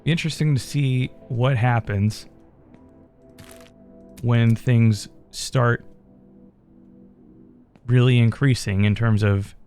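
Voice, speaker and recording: A man hosting his gaming channel, talking in a relaxed, rambling way with fillers, his voice still strained from a head cold. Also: mid-range voice, slow pace, long pauses, soft background music. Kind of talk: casual gameplay commentary.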